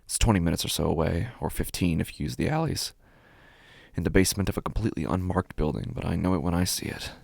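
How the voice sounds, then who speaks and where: An actor playing a menacing, nihilistic street tough in a first-person horror podcast monologue, brooding and deliberate, with a gravelly voice and heavy pauses. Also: male voice, low voice, a little mellow, no echo. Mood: bitter, dismissive. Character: menacing, drugged, nihilistic male street tough with violent urges. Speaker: horror fiction podcast narrator. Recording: music under the voice. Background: background music.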